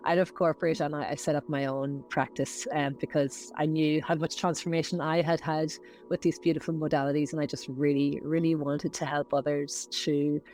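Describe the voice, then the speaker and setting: A woman's voice: low-pitched, level tone, moderate pace, darkish tone, faint music behind. An Irish woman, a podcast guest, telling her story in a hesitant, reflective way. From Ireland. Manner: hesitant, reflective, many fillers. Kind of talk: casual interview chat.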